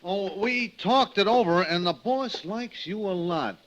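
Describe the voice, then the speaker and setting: A man's voice: sits high, animated, measured pace. An actor playing an eager, deferential gang henchman in a radio drama, hesitant and obliging. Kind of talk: old-time radio drama acting. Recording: dull, noisy vintage radio recording.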